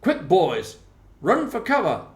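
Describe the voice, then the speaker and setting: An excitable, boastful young boy from a storybook, performed by a man reading aloud. The voice is lifted in pitch and emphatic. It rings with room echo. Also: slow pace, full character voice. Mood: urgent. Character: eager, boastful young boy playing at pirates. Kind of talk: storybook character dialogue. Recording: roomy, echoing voice recording.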